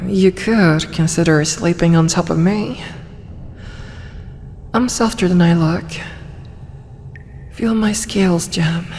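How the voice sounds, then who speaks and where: A woman playing a huge, possessive, flirty dragoness in an intimate ASMR roleplay, coaxing and teasing softly, often slipping toward a whisper. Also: fairly low pitch, slight background noise. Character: huge tsundere female dragon, possessive, flirty, doting captor. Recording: long cavernous reverb.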